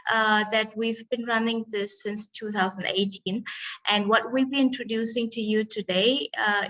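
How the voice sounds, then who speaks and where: A woman's voice: a little high, a bit bright, poor audio. A woman from Vietnam presenting an online workshop as facilitator over a video call, hesitant with many ums and uhs.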